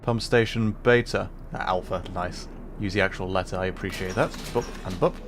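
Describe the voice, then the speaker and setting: A man giving casual, halting live commentary as he streams a video game, musing with playful asides. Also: low-pitched, fairly even intonation, background noise.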